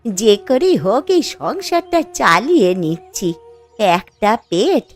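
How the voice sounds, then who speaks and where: A woman's voice: high-pitched, dry recording, heavily acted. A woman voicing a poor, worried elderly mother-in-law in a cartoon story, with a plaintive, fretful manner. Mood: worried, resigned. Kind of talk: Bengali cartoon story dialogue.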